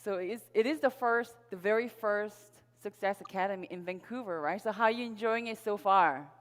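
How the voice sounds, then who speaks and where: A woman's voice: medium pitch, a little fast, soft background music. Female stage presenter, middle-aged, Korean native speaker. Upbeat, audience-rallying sales talk with personal storytelling, heard through a hall's long reverb. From Seoul, South Korea. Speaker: sales presenter at a network marketing event. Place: large event hall on stage. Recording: reverberant hall PA sound.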